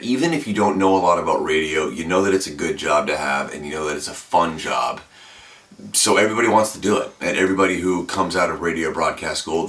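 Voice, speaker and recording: A man in his twenties with a notably deep, low voice talks through his radio career in an easy, reflective way, with the odd "um." The sound is roomy and echoey, as if the mic sits a few feet away. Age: 20s. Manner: relaxed, reflective, with fillers. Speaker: radio announcer. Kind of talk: documentary interview. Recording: echoey room, mic at a distance.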